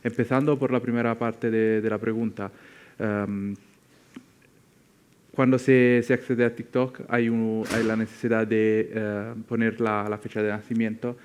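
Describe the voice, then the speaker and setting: A man from Italy with an Italian accent, speaking a second language as a panelist, explaining things off the cuff and often pausing to search for words. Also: lowish voice, flat delivery, a little mellow, clean tone. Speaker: tech company representative on a panel.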